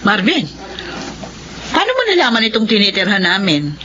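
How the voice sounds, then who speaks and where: A woman's voice: medium pitch, huge pitch swings, moderate pace, pushed and bright, slight background noise. An actress in a Filipino radio drama playing a middle-aged mother, startled, suspicious and anxious. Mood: surprised, wary. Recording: slightly muffled radio audio.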